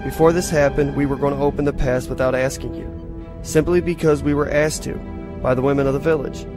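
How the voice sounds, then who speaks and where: A man's voice: mid-range voice, fairly even intonation, a little fast, close to an everyday voice. A man playing an earnest, formal starship lieutenant in a science fiction audio drama, speaking in a matter-of-fact way. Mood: urgent. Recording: music behind the dialogue.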